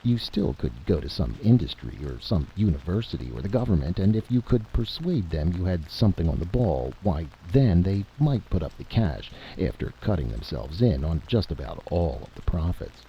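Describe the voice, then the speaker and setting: A male narrator reads fiction dramatically in a very low, gravelly voice. His pitch moves expressively, and the recording is muffled and noisy. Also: sing-song, normal speed, few pauses. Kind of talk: scripted story narration, dramatic. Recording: dull lo-fi recording with noise.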